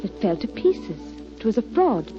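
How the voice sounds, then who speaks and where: A woman acting in 1940s radio style as a polite, anxious young gentlewoman, earnest and carefully spoken. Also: fairly high pitch, sing-song, normal speed. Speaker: radio drama actor. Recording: dull 1940s radio recording with music.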